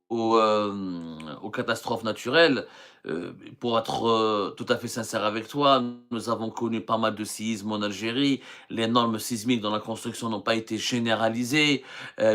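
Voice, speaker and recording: Earnest Algerian journalist, halting delivery. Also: male voice, mid-range voice, a bit bright. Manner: earnest, talks with many hesitations and restarts. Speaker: journalist hosting a live stream. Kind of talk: live stream answering viewer questions.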